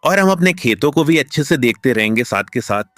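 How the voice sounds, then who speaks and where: A man playing an earnest, expressive village farmer in a cartoon story. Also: mid-range voice, fairly quick, no echo, studio quality, natural voice. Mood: thoughtful, hopeful. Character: two earnest village farmer friends, adult men. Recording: music behind the voice.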